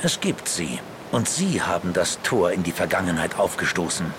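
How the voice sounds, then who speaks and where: An actor playing a grave, cryptic ancient magician in a fantasy audio drama. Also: male voice, low-pitched, very animated, moderate pace, background noise. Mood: solemn, urgent.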